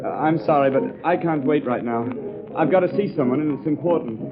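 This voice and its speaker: A man plays a nervous young socialite in an old radio drama. His voice is fairly high, hesitant and agitated. The recording is narrow and tinny. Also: brisk, lightly acted. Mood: flustered, evasive. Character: flustered, agitated young rich man, evasive. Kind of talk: 1940s radio acting.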